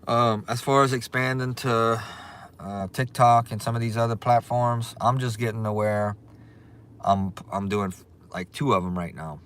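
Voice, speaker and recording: A man's voice: mid-range voice, unhurried, clean tone. Cajun man chatting on a livestream. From Louisiana. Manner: relaxed, chatty, reads and greets viewers' comments. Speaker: livestream host. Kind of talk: casual livestream chat.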